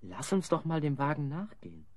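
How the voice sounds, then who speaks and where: Lively, wry adventurer hero. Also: male voice, on the high side, a little fast, dry recording. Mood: curious. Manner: wry, teasing. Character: good-natured, teasing, curious adventurer hero. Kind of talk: radio play acting.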